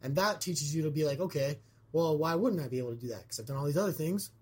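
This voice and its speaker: A man's voice: fairly high pitch, fast talker, husky. Scratchy-voiced male podcast host. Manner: rambling, lots of fillers and restarts. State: hoarse after being sick. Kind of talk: casual chat.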